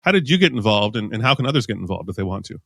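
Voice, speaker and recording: A man's voice: on the low side, sing-song, racing, gravelly, a bit bright, husky, dry recording, clean studio sound. A man hosting a podcast interview, asking questions in a casual, friendly way with brief replies in between. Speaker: podcast host.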